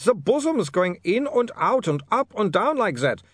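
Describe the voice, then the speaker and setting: A man's voice: sits high, big pitch swings, moderate pace, a bit bright, breathy edge, dry recording, studio quality, voice pushed up, heavily acted. A man voicing a panicky vampire inventor. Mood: desperate. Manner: agitated, pleading, trembling. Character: nervous male vampire inventor with a foreign accent.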